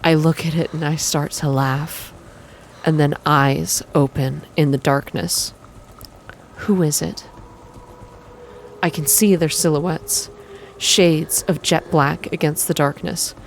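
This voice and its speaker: A woman reading a visual novel game aloud in an intimate ASMR style, soft and expressive as she hosts her playthrough. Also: deep voice, slow pace, slight background noise. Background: game music.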